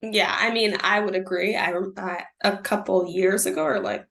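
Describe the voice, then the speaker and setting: Gravelly-voiced woman host. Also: mid-range voice, steady tone, normal speed, fairly bright tone. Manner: asks questions, hedging with "like". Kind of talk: casual conversation.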